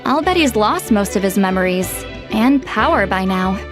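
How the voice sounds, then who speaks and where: A woman's voice: medium pitch, expressive, normal speed, over music, close to an everyday voice. An actress voicing a proud, scholarly young woman in a video game, explaining things in an articulate, self-assured way. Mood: confident, explanatory. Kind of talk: video game dialogue, expository storytelling.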